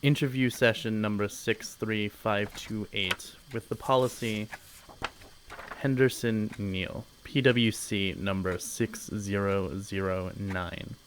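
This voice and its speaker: An actor playing a pompous, officious corporate boss in an audio drama, condescending and easily offended. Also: male voice, lowish voice, steady tone, takes it slowly, noisy background.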